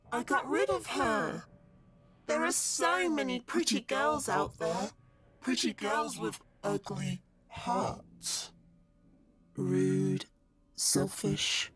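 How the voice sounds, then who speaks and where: A woman playing a vain, playfully murderous living doll in a horror audio drama, with a slow, singsong, mock-sweet menace. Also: medium pitch, a bit bright, breathy edge, heavily acted.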